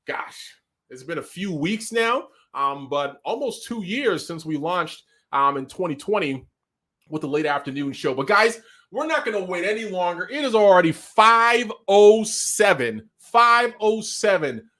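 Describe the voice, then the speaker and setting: Hyped man hosting, Nigerian accent, slightly high. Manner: energetic, hyped, quick bursts of talk. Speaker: host. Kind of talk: live online talk show hosting.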